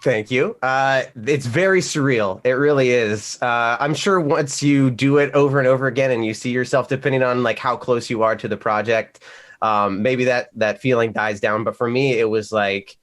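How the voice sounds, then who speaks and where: A man with a mid-pitched voice chats casually as a voice actor on an online panel. He tells his stories in an animated way, slipping into little acted-out asides. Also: moderate pace. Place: remote video call.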